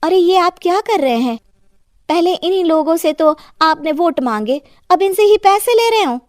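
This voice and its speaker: A woman's voice: extremely high pitch, expressive, normal speed, no room sound, studio quality, pitched above the performer's own voice. A woman voicing an earnest, plain-spoken wronged wife and councillor in a cartoon moral story. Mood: indignant. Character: meek, wronged wife and councillor finding her courage.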